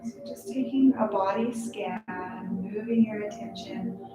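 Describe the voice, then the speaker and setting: A woman guiding a meditation as a yoga nidra instructor, speaking gently and leaving unhurried pauses between phrases. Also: medium pitch. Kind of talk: guided meditation. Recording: echoey, distant sound with loud music. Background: loud music.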